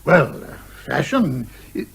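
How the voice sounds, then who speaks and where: An actor playing an older British major, pompous, hearty and blustering, in an old-fashioned radio comedy style. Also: male voice, fairly high pitch, sing-song, takes it slowly, vocal fry, slight background noise, heavily acted. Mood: hearty, genial. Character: blustering, genial old retired major, father who despises lawyers.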